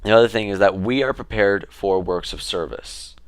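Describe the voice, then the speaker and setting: A young man talking casually to his camera in a relaxed, rambling way, with fillers and restarts. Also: on the low side, normal speed, no room sound. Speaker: vlogger.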